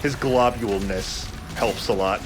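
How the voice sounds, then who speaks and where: A man's voice: on the low side, unhurried, noisy background. A man giving casual, joking let's play commentary as he games. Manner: joking, bantering.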